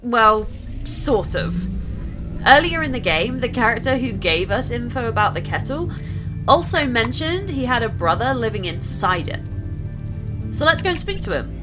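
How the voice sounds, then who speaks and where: A woman's voice: a little high, big pitch swings, moderate pace, music in the background. A woman giving scripted narration over gameplay in a chirpy, guiding way.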